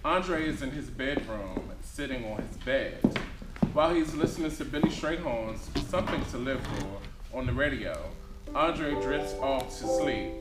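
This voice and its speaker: A man's voice: medium pitch, expressive, measured pace. A man reading scripted stage directions as narrator at a live play reading. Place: small theatre stage. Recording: roomy live stage recording, loud piano.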